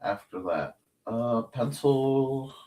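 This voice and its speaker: A man's voice: mid-range voice, lively intonation, very slow, mellow, poor audio. A man hosting a casual drawing livestream, muttering and thinking aloud in fragments, with a slight speech impediment. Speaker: livestream host and artist.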